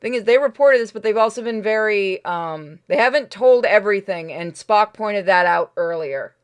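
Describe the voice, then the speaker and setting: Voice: mid-range voice, lively intonation, moderate pace, smooth. A streamer giving casual gameplay commentary, blunt and sweary, deliberating aloud over choices. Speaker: streamer playing a game.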